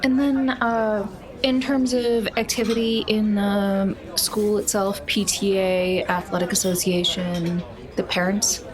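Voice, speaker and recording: A woman's voice: medium pitch, steady tone, takes it slowly. A woman chatting casually as a player in a tabletop roleplaying game show.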